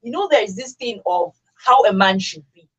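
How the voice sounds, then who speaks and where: A woman with a Nigerian accent speaks as a radio talk show host. Her voice has a slightly husky edge at a middling pitch. She is emphatic and opinionated, and often repeats a phrase to press her point. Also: expressive, normal speed, fairly bright tone.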